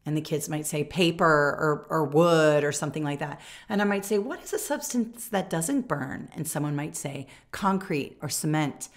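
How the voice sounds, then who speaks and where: A woman's voice: deep voice, normal speed, hardly any pauses. American woman teacher in her 40s, talking you through a classroom lesson, emphatic, chatty, checks in a lot. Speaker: teacher and teacher trainer. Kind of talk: instructional demonstration of classroom reading, conversational. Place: small furnished room indoors.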